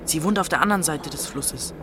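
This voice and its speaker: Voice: medium pitch, fairly quick, noisy background, lightly acted. A performer playing a guarded, literal-minded boy of thirteen in a German radio drama, matter-of-fact and questioning. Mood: wary, puzzled.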